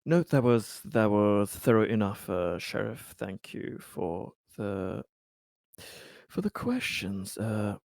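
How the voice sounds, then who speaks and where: Gravelly, halting man roleplaying at the table. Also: lowish voice, big pitch swings, a little mellow, dry recording, clean studio sound. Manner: halting, deliberate, somewhat formal phrasing. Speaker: tabletop game player. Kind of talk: improvised in-character roleplay talk.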